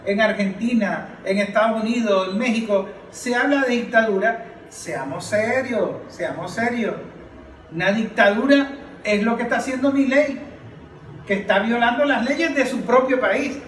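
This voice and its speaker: A man's voice: extremely high pitch, a little slow, echoey room, far from the mic, slight background noise, rough recording. A man giving impassioned political commentary as a podcast panelist, emphatic and declamatory, repeating phrases for force.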